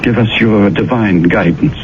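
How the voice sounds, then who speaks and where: A man's voice: on the low side, moderate pace, close to an everyday voice. An actor playing a sanctimonious man in an old radio drama, soothing and persuasive as he urges prayer. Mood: pious. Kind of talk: 1970s radio drama acting. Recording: dull old radio broadcast, faint hiss.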